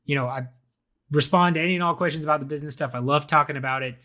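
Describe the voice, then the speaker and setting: Young male podcast host, slightly raised pitch, mildly raspy and under the weather, casual rambling delivery. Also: racing, bright, forceful tone. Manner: casual, chatty, frequent fillers and restarts. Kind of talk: solo podcast commentary.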